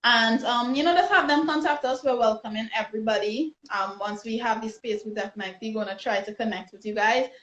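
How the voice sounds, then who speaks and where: A woman's voice: on the high side, a little fast, hardly any pauses, a bit bright. A woman with a Trinidadian accent hosting a live online interview, upbeat and chatty, often restarting her sentences. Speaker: host. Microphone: phone or laptop on a video call. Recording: roomy, dull lo-fi livestream audio.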